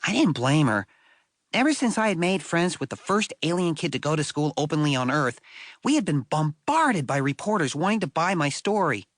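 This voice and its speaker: A man playing a wry, self-deprecating sixth-grade boy, telling his story in a conversational, expressive way for an audiobook. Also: on the high side, normal speed, dry recording, clean studio sound. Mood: worried, reflective. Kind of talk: first-person audiobook storytelling.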